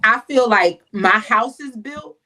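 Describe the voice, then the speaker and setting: A woman's voice: on the high side, animated, slow pace, sharp tone. A woman, co-hosting a podcast in a playful, confident, emphatic manner. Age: 30s. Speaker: podcast co-host. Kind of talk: casual chat.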